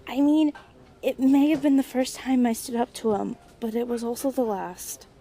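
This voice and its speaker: A woman's voice: a little high, dark tone, lightly acted. A woman acting a hesitant, apologetic young college student in a naturalistic audio drama. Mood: hurt, venting. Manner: halting, apologetic, unsure. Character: hesitant young female college student, hurt but quietly resolute.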